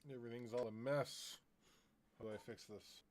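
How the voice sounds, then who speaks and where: A man's voice: mid-range voice, steady tone, normal speed, darkish tone. A man streaming a game, chatting casually and rambling to himself as he checks his microphone and sets things up. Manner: rambling, talks to himself, drops into muttered asides. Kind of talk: casual live-stream chat while setting up. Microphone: desk microphone he is testing.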